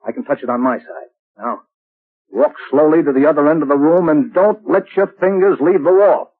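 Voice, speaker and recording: A man's voice: on the high side, moderate pace, breathy edge. A man playing a ruthless scheming crime boss in a 1930s radio drama, menacing and sneering, on a tinny old broadcast recording.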